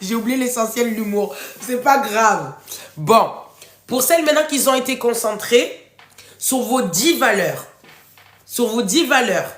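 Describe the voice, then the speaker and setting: Casting: woman, high voice, stuffed up with a cold, encouraging and informal live workshop coach, roomy echoing sound. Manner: informal, affectionate address to listeners, encouraging. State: congested, runny nose from a cold. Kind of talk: casual interactive coaching livestream, reading out participants' answers.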